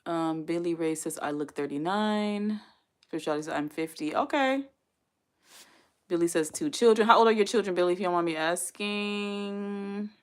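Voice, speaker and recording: Woman, 40s, livestream host, slightly low voice, casual and chatty with viewers. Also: normal speed, smooth. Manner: chatty, talks straight to chat, laughs often. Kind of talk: casual chat reading viewer comments.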